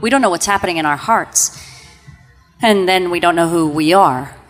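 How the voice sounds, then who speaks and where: A woman's voice: lowish voice, normal speed. A woman, a religious sister, speaking in a reflective, earnest way as a guest on stage. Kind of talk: casual guest talk on stage. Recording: reverberant hall with faint music.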